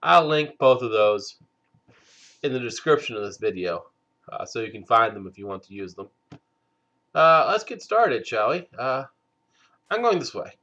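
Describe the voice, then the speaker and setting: A man hosting a game let's play, stuffed up from a cold, talking slowly with many hesitant fillers. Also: medium pitch, very animated, sharp tone, muffled recording. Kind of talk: casual gameplay commentary.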